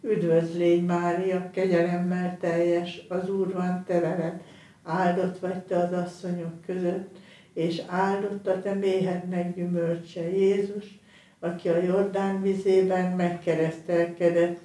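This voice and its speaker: A woman leading a rosary, reciting the prayer in a measured, monotone way in a very echoey space, away from the mic. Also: lowish voice, recorded from a distance.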